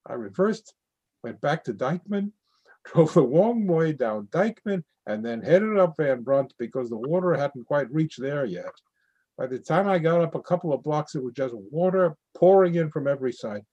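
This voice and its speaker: A man voicing an animated, husky flood survivor. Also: fairly high pitch, normal speed, no room sound, muffled recording. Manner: vivid, animated storytelling. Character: man who drove through storm flooding. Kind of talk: readers theatre monologue.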